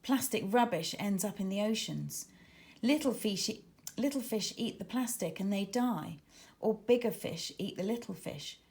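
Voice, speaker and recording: A woman reading the part of a calm, reassuring boy marine biologist in a children's storybook, explaining patiently. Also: medium pitch, a little slow, vocal fry. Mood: earnest.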